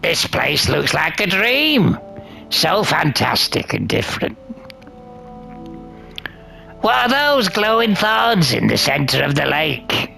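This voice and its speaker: A woman as a creaky, low-voiced curious traveler. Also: sing-song, takes it slowly, long pauses, sharp tone, soft background music, exaggerated voice, rough recording. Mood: awed, curious. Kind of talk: visual novel character dialogue.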